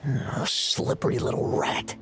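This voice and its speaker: A woman voicing a menacing, mocking huntress in a video game, drawn-out and taunting. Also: over music, lightly acted. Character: menacing, mocking female hunter toying with prey.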